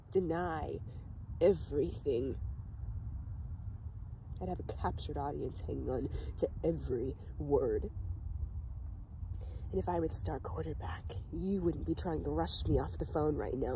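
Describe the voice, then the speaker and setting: Woman acting a slow, dark-voiced troubled teen outcast. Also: on the low side, slight background noise, low-quality recording. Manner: slow, halting, emotionally intense delivery with pauses. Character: troubled, bitter, desperate teenage outcast calling emergency services. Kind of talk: dramatic acting monologue.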